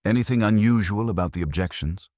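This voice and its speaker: A man's voice: lowish voice, fast talker, a little mellow, dry recording, clean studio sound, voice pushed up, close to an everyday voice. A man playing a shrewd, courteous police inspector who questions tersely and dryly in a detective story. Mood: dry, suspicious. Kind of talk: audiobook detective mystery character reading.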